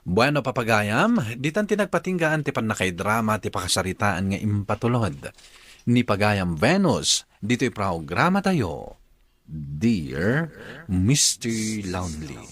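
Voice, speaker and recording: A man's voice: lowish voice, sing-song, unhurried, fairly bright tone, husky, dry recording. A man with a Filipino accent hosting a radio drama, narrating and reading letters from a script.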